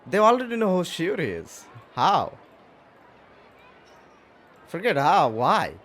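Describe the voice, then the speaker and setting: Male gamer, fairly high voice, lively swooping intonation, casual playthrough commentary, repetitive, game music behind. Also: takes it slowly, background noise. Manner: informal, exclamatory, repeats himself. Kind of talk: casual let's-play commentary.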